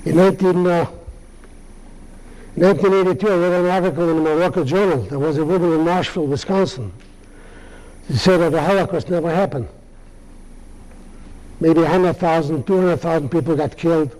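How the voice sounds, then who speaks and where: Older man, halting speech, mellow tone, fairly high voice. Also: poor audio. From Lithuania. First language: Yiddish. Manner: halting, many pauses and restarts. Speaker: Holocaust survivor speaker. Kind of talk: answering audience questions.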